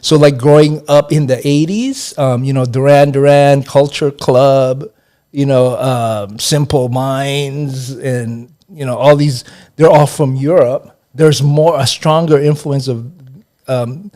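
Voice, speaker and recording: A man's voice: medium pitch, steady tone, slow pace, warm tone. Middle-aged man, hesitant and chatty. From Hawaii. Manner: hesitant, many fillers and restarts. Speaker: interview guest, accounting professor. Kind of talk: relaxed interview chat.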